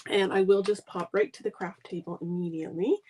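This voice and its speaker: A woman's voice: medium pitch, steady tone, fairly quick, dark tone. A middle-aged woman, nasal from a cold, hosting a live card-making demo at her craft table in a friendly, rambling way. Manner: friendly, rambling, gushes over paper. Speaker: stamping demonstrator hosting a live craft video.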